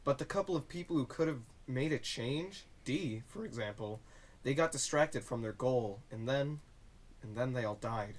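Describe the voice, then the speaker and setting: A male actor plays a secretive man in an audio drama. His pitch moves around a lot as he talks, hesitant and grave. He comes across as guarded, like someone giving a warning. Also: lively intonation, normal speed, close to an everyday voice. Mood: resigned.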